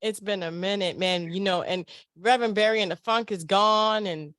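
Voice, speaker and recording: A woman's voice: medium pitch, normal speed, fairly bright tone, dry recording, studio quality. A woman hosting a casual radio interview, exuberant and slangy in her way of talking. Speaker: radio show host.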